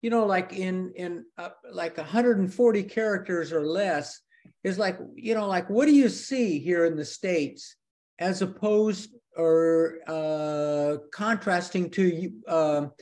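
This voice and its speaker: A man's voice: high voice, takes it slowly, a little mellow. Club member: man, not a native English speaker, hesitant, full of "you know, like". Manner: hesitant, many fillers. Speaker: club member asking a question. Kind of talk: casual question.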